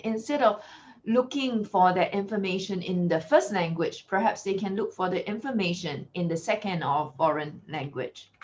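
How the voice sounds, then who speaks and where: A woman from Singapore with a Singaporean English accent, giving an online lecture in a clear, measured teacherly way. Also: medium pitch. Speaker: guest lecturer. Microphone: video call. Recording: lo-fi video call audio.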